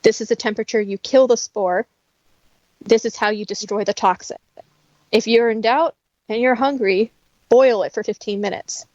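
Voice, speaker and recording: A woman's voice: on the high side, fairly even intonation, normal speed, darkish tone, no echo, lo-fi sound. A woman talking casually and instructively, in a matter-of-fact way, as a guest who teaches practical skills. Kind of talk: casual conversational explaining.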